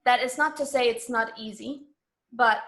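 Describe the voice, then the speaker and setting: A woman with a fairly high voice is being interviewed. She talks conversationally and earnestly, leaning on key words to press her point. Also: moderate pace, a bit bright. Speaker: interview guest.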